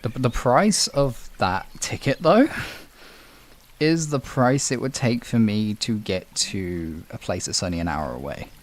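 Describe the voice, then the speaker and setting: A man's voice: on the low side, expressive, measured pace. A British man chatting casually on a livestream, replying to viewers in an informal, rambling, sweary way. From United Kingdom. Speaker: livestream host.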